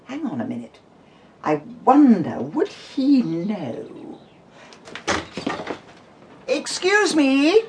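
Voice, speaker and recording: A woman's voice: fairly high pitch, wildly swooping pitch, very slow, long pauses, background noise, full character voice. An actress playing an acerbic, impatient middle-aged British woman in a radio drama, clipped and sarcastic. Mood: exasperated.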